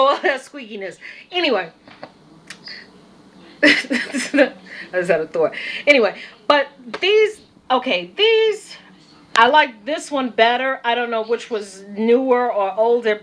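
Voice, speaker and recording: A woman vlogging at home off camera, telling a shopping story in a casual, animated way and acting out the dialogue, her voice going squeaky when she gets upset. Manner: animated, acts out quoted dialogue.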